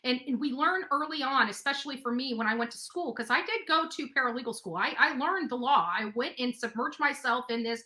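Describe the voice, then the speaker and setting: A woman's voice: sits high, brisk, hardly any pauses, pushed and bright. A woman talking casually and bluntly about herself in an interview, repeating phrases for emphasis. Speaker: interview guest. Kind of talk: casual personal storytelling in an interview.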